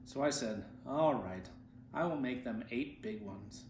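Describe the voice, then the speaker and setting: Aged monk read by a man, seventies, humble and chatty, plain-spoken storybook reminiscing, mid-pitched, roomy sound. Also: a little slow, a little mellow, natural voice. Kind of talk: storybook reading of quoted speech. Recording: roomy echo, faint background noise.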